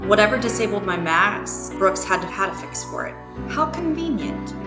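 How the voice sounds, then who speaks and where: A woman's voice: mid-range voice, sing-song, normal speed, echoey room, music in the background, close to an everyday voice. An actress playing a stern, protective woman who speaks bluntly and sarcastically in an audio drama. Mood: suspicious. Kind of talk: modern audio drama acting.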